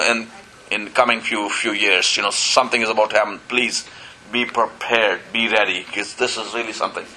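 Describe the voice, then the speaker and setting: Bright-voiced preacher man. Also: mid-range voice, slight background noise, rough recording. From Pakistan. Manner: earnest, peppered with "you know" and calls of "Amen?". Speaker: pastor. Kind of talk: sermon.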